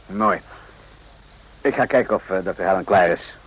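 An actor playing a composed, probing army captain in a radio drama, speaking in a measured, understated way with hesitant pauses. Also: male voice, low-pitched, fairly bright tone, slightly rough. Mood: guarded, measured. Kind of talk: radio drama acting in Dutch. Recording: dull, muffled old radio recording.

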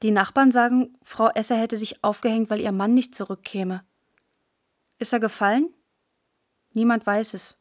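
A woman's voice: on the high side, fairly even intonation, normal speed, pauses often, dry recording, clean studio sound, close to an everyday voice. A woman narrating a literary radio play as a girl who remembers the war's end, observant and matter-of-fact, in short clipped sentences. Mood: sober, observant.

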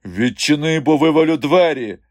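A man's voice: fairly low pitch, lively intonation, a little slow, darkish tone, dry recording, clean studio sound. Guy doing a tough circus strongman, stern and threatening, protective type, storybook reading. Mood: commanding, stern. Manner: commanding, threatening.

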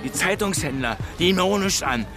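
A man's voice: sits high, expressive, fast talker. An actor playing a bitterly mocking Berlin working man in a radio drama, with a local accent and a sneering, colloquial delivery. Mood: bitter, resigned. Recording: music behind the dialogue.

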